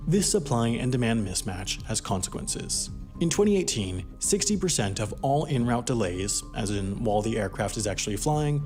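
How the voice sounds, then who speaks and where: A man's voice: medium pitch, a little mellow. A man delivering scripted narration for an explainer documentary.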